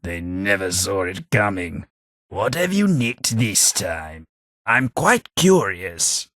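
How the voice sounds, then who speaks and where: An actor playing a sardonic, centuries-old undead British aristocrat ghoul companion in a game, with a dry, sarcastic posh drawl and a British accent. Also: male voice, low-pitched, big pitch swings, slow pace, a bit bright, dry recording, heavily acted. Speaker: companion character. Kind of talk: game voice lines.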